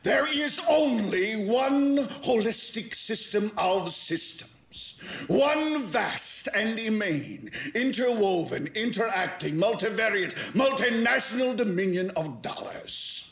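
An actor playing a domineering middle-aged American corporate tycoon, preaching in a grandiose theatrical film monologue with emphatic, deliberate phrasing. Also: male voice, mid-range voice, sing-song, a bit bright. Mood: thundering wrath.

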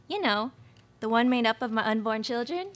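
A young woman performs a sweet belle for a radio comedy. She gushes and chatters obliviously in a fairly high voice. Her is sugary. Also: animated, normal speed, very noisy, lightly acted. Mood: sugary, gushing. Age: young adult. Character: gushing, baby-crazy sweet Southern belle Peaches. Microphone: stage microphone on stand.

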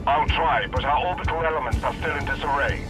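A man's voice: medium pitch, fast, lightly acted. Man acting a grim, urgent military officer. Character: grim male military officer reporting battle, hard and urgent. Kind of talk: dramatic video game acting. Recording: loud music over voice.

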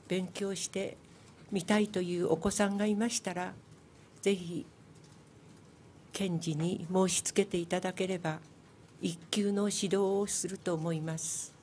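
A woman's voice: lowish voice, fairly even intonation, fairly quick, pauses often, a little mellow, a little hiss or hum. An older woman speaking earnestly and politely at a press conference, sometimes restarting her words. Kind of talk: formal press conference statement. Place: large press club conference room. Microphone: table microphone on a stand.

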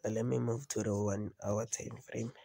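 A man's voice: fairly low pitch, flat delivery, moderate pace, gravelly, warm tone, slight background noise. A man with a cold hosting a casual trading tutorial over a screen recording, often repeating himself and asking "you understand?". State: has a cold. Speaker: trading video host.

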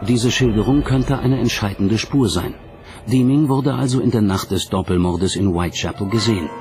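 A man's voice: lowish voice, normal speed. A man reading scripted documentary narration as the narrator. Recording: music bed under narration. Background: background music.

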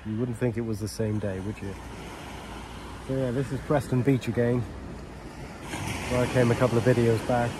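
A man's voice: medium pitch, flat delivery, normal speed, pauses often. A man, chatting casually and in an offhand way as a fishing and cooking vlogger. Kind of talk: casual chat to camera. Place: open shingle beach beside a shelter. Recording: noisy outdoor ambience, wind and waves.

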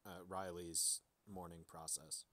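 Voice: low voice, flat delivery, slow pace, pushed and bright. A podcast co-host talking in casual discussion.

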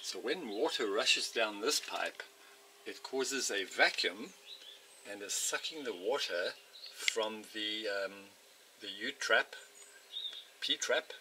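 A man's voice: fairly low pitch, animated, slow, pauses often, background noise. A man walking through a home plumbing repair in an outdoor workshop, explaining step by step with frequent ums and uhs. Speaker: DIY video maker. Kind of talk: hands-on home repair walkthrough. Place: outdoor workshop area by a house.

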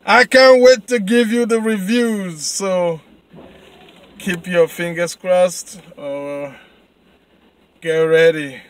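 A man in his thirties with a Nigerian accent, vlogging excitedly from his car at night into a handheld camera. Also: sits high, slow, long pauses. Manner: excited, chatty. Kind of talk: casual vlog. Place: car at night, then city street. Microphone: handheld camera at arm's length.

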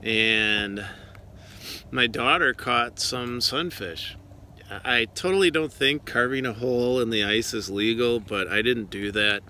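A man in his forties filming himself ice fishing outdoors with a handheld phone, chatting in an excitable, self-deprecating way. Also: medium pitch, measured pace, slight background noise. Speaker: fishing vlogger. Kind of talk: casual vlog narration. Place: outdoors on a frozen pond. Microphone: phone camera at arm's length.

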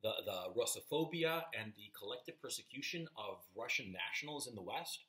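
A man's voice: medium pitch, a little fast. A man in his fifties from Chile, talking casually and emphatically to camera in a small room at home through a webcam mic. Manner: animated, gesturing with hands, emphatic. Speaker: vlogger. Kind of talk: casual opinion monologue to camera.

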